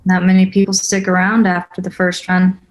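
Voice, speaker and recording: A tired, guarded mom, acted by a woman, speaks in a lowish voice with little pitch movement. Her replies are short, casual and wry, as in a film script reading. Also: completely flat delivery, normal speed, natural voice, poor audio. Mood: weary. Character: tired, guarded young mother Corey, worn down. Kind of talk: table read of a film script.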